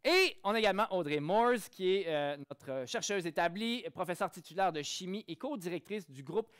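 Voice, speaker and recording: A man's voice: sits high, lively intonation, normal speed, fairly bright tone, smooth. A man with a Québécois accent moderating a panel at a forum, introducing guests with playful asides to the audience. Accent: Québécois French. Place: conference hall stage.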